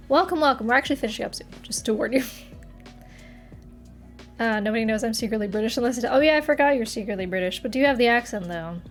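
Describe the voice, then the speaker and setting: Giggly, chatty woman streamer. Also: high voice. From Massachusetts. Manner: chatty, giggly, trails off and restarts. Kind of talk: casual chat reading live chat. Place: her apartment.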